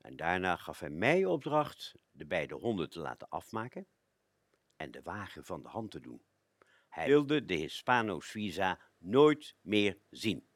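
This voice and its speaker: An actor playing a male chauffeur recounting his master's tale in a radio play, with measured, expressive phrasing. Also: sits low, slightly rough, no echo, exaggerated voice. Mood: grave. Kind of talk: dramatic storytelling radio play.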